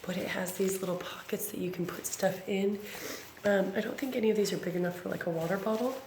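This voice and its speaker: A woman in her thirties, raspy from bronchitis, chatting casually through an unboxing with many ums and self-corrections. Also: medium pitch, steady tone, quick pace. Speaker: vlogger. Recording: echoey room with background noise.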